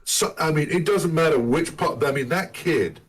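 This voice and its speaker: A man co-hosting a casual chat about being a dad, telling funny stories in an animated way. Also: on the high side, normal speed. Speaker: podcast co-host. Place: lives in Michigan.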